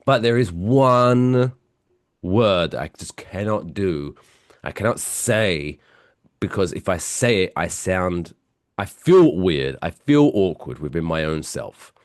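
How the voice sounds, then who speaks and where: A man with a British accent, softened by years in America, telling stories as a podcast co-host while stuffy with a cold. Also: deep voice, lively intonation, takes it slowly, no echo, clean studio sound. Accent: British English softened by years in the US. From England. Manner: chatty, self-mocking storyteller. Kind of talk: casual podcast chat.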